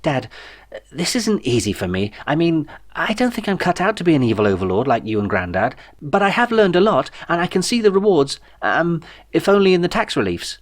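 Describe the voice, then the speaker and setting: A man voicing a timid, dim, eager young son of an evil overlord, earnest and halting. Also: fairly high pitch, very animated, pitched above the performer's own voice. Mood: nervous, earnest.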